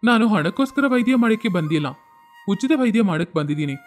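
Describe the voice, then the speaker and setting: A man's voice: high voice, animated, fast, faint music behind. A man voicing a humble, earnest village doctor in a moral story, polite and patient. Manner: polite, pleading.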